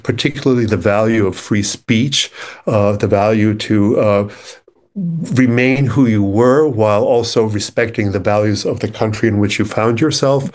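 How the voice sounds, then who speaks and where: A man whose first language was Ukrainian speaks as a poet guest in an interview, talking in a thoughtful, searching, measured way and reading his own poems. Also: on the low side, darkish tone. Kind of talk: conversational interview and poetry reading.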